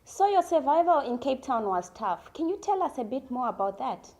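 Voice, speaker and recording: A woman's voice: high-pitched, big pitch swings, moderate pace, dark tone, slight background noise. A woman hosting a talk show, opening with an upbeat scripted intro and asking interview questions. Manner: upbeat, promotional.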